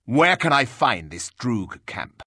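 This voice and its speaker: A terse, impatient warrior from a fantasy game. He is husky and middling in pitch, with emphatic swings in intonation and a dry, close sound. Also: sing-song, slow pace, sharp tone, dry recording, heavily acted. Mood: stern, businesslike. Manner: blunt, terse, rude. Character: blunt, impatient resurrected warrior, gruff male hero. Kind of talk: fantasy video game dialogue acting.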